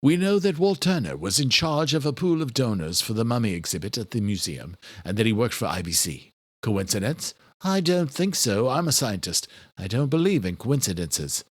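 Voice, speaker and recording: A man's voice: medium pitch, very animated, normal speed, slightly rough, no echo, voice pushed up. A man voicing an earnest, worried doctor in a thriller audiobook, explaining with care and loyal concern. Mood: determined. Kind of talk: horror thriller audiobook character.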